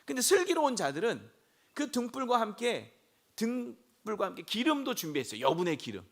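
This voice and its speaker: A man preaching a sermon as senior pastor, hoarse from a throat cold, asking the congregation questions and rising to shouts. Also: high-pitched, wildly swooping pitch, moderate pace. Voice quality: gravelly.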